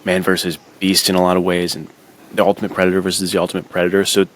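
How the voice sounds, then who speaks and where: A man's voice: deep voice, completely flat delivery, very fast, a little hiss or hum, low-quality recording. A man co-hosting a podcast, chatting casually about films in slangy, buddy-like talk full of "dude" and "like". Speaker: podcast co-host. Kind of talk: casual film chat.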